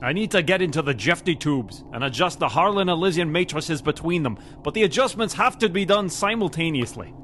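A blustery Scottish ship's engineer, blunt and set in his ways. His fairly high voice rises and falls freely in radio serial style, over faint noise. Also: expressive, brisk, hardly any pauses, a bit bright. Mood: stubborn. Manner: blunt, chauvinistic, exasperated. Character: gruff old-fashioned Scottish ship's engineer and stowaway. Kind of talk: parody of old-time radio serial.

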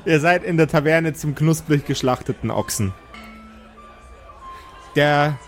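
A man, improvising as game master of a tabletop game, switching between narration and casual chat. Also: fairly high pitch.

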